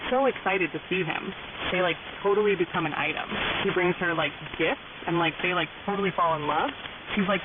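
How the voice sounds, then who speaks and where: Young woman, Californian Valley girl accent, gushy slangy storytelling, narrow shortwave sound, heavy hiss. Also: on the low side. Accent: American, Californian Valley girl. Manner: gushy, ditzy, peppered with filler words. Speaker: storyteller on a pirate radio program. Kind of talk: fairy tales retold in exaggerated Valley girl slang. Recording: hissy, narrow-band shortwave radio, very noisy. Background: loud static and hiss.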